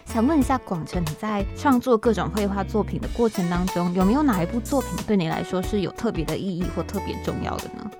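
A woman's voice: medium pitch, expressive, quick pace, hardly any pauses, over music. A woman with a Taiwanese accent hosting a podcast interview, attentive and affirming as she lays out her questions conversationally. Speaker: podcast host.

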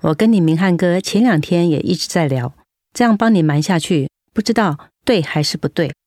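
An actress playing a caring, firm older-sister nurse, gently admonishing in Taiwanese Mandarin, in a radio drama. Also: female voice, fairly low pitch, brisk, no room sound, clean studio sound, natural voice. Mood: concerned.

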